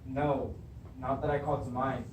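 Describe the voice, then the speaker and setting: A man's voice: medium pitch, flat delivery, unhurried, natural voice. A man acting a frightened, reluctant old shepherd in an amateur stage reading, evasive and pleading. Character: frightened, reluctant old male shepherd, once a king's servant. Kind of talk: beginning drama class readers theatre. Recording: echoey room, distant mic, faint noise.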